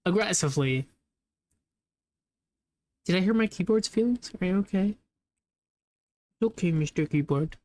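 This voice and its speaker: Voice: mid-range voice, expressive, unhurried, long pauses, a little mellow, dry recording. A young adult gamer talking loosely over gameplay, rambling, laughing often and swearing casually. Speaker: gameplay video creator.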